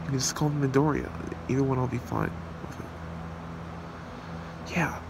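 A man's voice: mid-range voice, moderate pace, creaky, pitched above the performer's own voice, natural voice. A man playing an earnest, devoted young hero boyfriend in an amateur ASMR roleplay. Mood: tender. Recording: steady hiss and hum behind voice.

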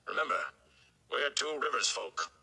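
Weary male village blacksmith for a fantasy storybook, acted by a male reader: steady, homespun, gravelly, gruffly reassuring. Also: mid-range voice, a little slow, voice pushed up, exaggerated voice. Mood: stoic, resolute.

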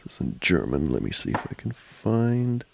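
A man performing a soft ASMR boyfriend roleplay, speaking in a halting, flustered way close to the microphone. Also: lowish voice, wildly swooping pitch, creaky, breathy edge, dry recording. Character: flustered, distracted studying boyfriend, teasing and affectionate. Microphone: close microphone, dry.

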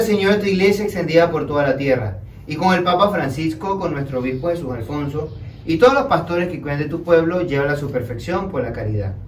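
A young man speaking as a priest, reciting liturgical prayers in a solemn, measured, declamatory way. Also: fairly high pitch. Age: young adult. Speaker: priest celebrating Mass. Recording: echoey room, distant mic, background noise.

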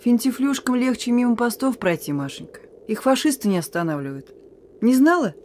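A woman's voice: fairly high pitch, big pitch swings, fairly quick, a little mellow, a little hiss or hum. Defiant, weary young messenger girl. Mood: defiant, irritated. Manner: familiar, insistent, needling. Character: weary, defiant, teasing young woman, partisan messenger under arrest. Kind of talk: Russian radio play acting. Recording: radio drama with music.

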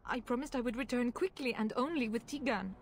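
A woman playing a desperate, proud noblewoman in a fantasy game, pleading formally and haltingly. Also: a little high, lightly acted. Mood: troubled, urgent. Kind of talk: dramatic fantasy game acting. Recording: game dialogue over faint ambient noise.

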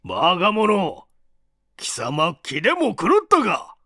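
A man voicing a gruff, forgetful old male professor with blustering, old-fashioned speech in an audiobook mystery. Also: high voice, wildly swooping pitch, slow pace, pushed and bright, dry recording, studio quality, full character voice. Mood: outraged.

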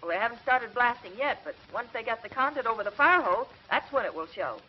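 An actress plays an alert young woman of science in a 1950s television space serial. Her voice sits high and her delivery is earnest, crisp and dramatic. The old soundtrack is dull and muffled. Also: lively intonation, fast talker, slight background noise, close to an everyday voice. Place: studio laboratory set.

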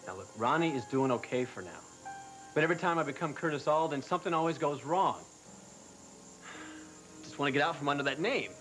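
A man's voice: on the high side, expressive, a bit bright, faint music behind, rough recording. An actor playing a troubled young man on a 1990s daytime soap who dodges his father and speaks defensively, stumbling over his words. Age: young adult. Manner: defensive, halting, restarts. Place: indoor studio set.

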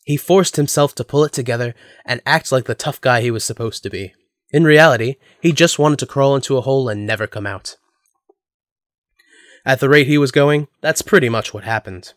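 Guy narrating a story, medium pitch, dry sound with no room. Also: brisk, no echo.

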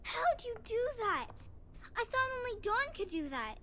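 A woman's voice: extremely high pitch, big pitch swings, unhurried, pushed and bright, slight background noise, exaggerated voice. A woman voicing an eager, hopeful little girl in a video game, speaking earnestly. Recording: game audio with faint ambience.

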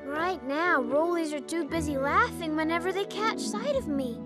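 Insecure sweet little boy mascot, kids cartoon, super high voice over music. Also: moderate pace. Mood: downcast. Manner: earnest. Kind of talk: children's animated series dubbing.

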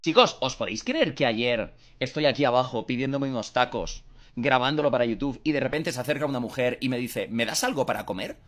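A man's voice: mid-range voice, fairly quick. Young man telling a story. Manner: animated, conversational. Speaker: YouTuber. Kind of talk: storytelling to camera. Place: indoors in a room.

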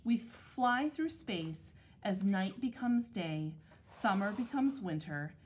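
A woman teacher reading a picture book aloud to young children, with measured, deliberate phrasing. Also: medium pitch, animated, a little mellow, a little hiss or hum.

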